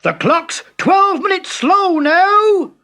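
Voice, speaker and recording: A man's voice: very high-pitched, expressive, slow, pushed and bright, voice pushed up, heavily acted. A man voicing an angry father who roars at his son. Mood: furious. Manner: roaring, telling off. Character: angry father, male adult, strict.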